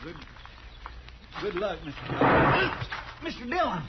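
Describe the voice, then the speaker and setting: An actor playing an anxious, loyal, excitable deputy sidekick in a 1950s western radio drama. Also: male voice, high voice, a little slow. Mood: alarmed. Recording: muffled old radio recording, loud noise.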